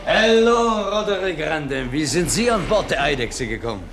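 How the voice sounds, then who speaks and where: A man's voice: a little high, very animated, moderate pace, music in the background. An actor playing an easygoing, genial male shipmate in a radio play. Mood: genial, amused. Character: genial shipmate greeting a comrade, easygoing man. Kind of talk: German radio play acting. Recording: noisy radio drama sound scene.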